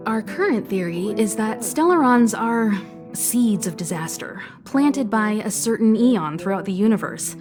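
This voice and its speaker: A woman's voice: medium pitch, expressive, a little slow, over music, lightly acted. A woman playing a composed, caring crew leader in a video game, speaking articulately and reassuringly. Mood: reassuring, explanatory. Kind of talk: game cutscene exposition.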